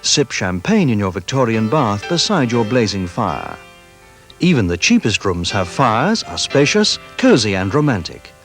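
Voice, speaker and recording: A man narrates a scripted advert. His voice is fairly low with lively intonation, and music plays clearly behind him. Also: a little slow. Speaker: advert voice-over. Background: loud music.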